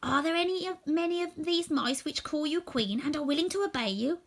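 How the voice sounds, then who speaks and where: A woman's voice: sits high, lively intonation, fairly quick, voice pushed up. A woman voicing a helpful, persuasive scarecrow in a storybook, with an earnest manner. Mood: organising. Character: helpful, persuasive scarecrow with straw-stuffed head. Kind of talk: storybook character voice.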